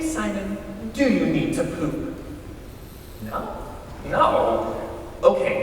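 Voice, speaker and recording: Casting: eager, playful male raconteur actor, very animated swoops, theatrical, in a hugely echoing hall. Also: fairly high pitch. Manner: theatrical, mimics dialogue. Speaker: storyteller in a readers theater. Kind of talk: staged family anecdote with acted quotes. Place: large hall, live stage performance. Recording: very echoey hall, distant mic, faint noise.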